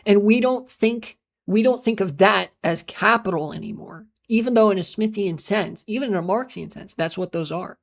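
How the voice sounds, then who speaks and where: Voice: mid-range voice, normal speed, gravelly, few pauses. An American live streamer in a casual, rambling monologue who swears often, trails off into filler sounds and answers chat messages. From United States.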